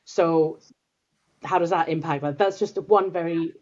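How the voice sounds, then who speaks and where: A woman's voice: deep voice, fairly even intonation, a little slow, a little mellow. A woman from Finland, a native Finnish speaker, talking thoughtfully as a guest expert in a casual interview, with many restarts and fillers. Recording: dull lo-fi sound.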